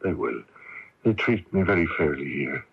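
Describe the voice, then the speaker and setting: An actor playing a weary, idealistic imprisoned male patriot in a radio mystery drama, earnest and halting. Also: rumbling low, expressive, vocal fry, breathy edge, exaggerated voice. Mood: resigned, gentle. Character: weary, idealistic imprisoned Irish patriot, resigned yet defiant. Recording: dull lo-fi radio recording.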